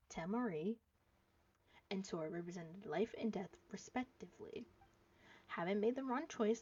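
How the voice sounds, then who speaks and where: Husky woman reading game dialogue on stream. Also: medium pitch, a little mellow, highs cut off. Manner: stumbles over words while reading.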